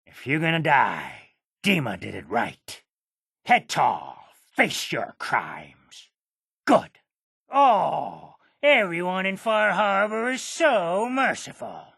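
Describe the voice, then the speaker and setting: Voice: mid-range voice, huge pitch swings, slow, creaky, a bit bright, slightly rough, dry recording, studio quality, full character voice. An actor voicing a cranky, boastful, very old islander in a video game, scoffing and grumbling with dramatic flair. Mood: scornful, mocking. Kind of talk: video game character acting.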